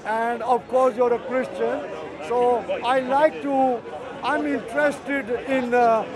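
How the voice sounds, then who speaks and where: A man's voice: extremely high pitch, flat delivery, takes it slowly, crowd noise. An older man debating religion outdoors in a park, insistent and repeating himself. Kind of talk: street debate. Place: outdoor park, city street noise.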